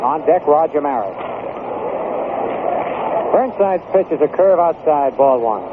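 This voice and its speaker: A man's voice: a little high, expressive, measured pace, phone-quality sound. A man calling baseball play-by-play in 1960s radio style, switching between clipped calls and conversational asides. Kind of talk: 1960s radio sports commentary. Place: outdoor ballpark broadcast booth. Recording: noisy, thin old AM radio broadcast.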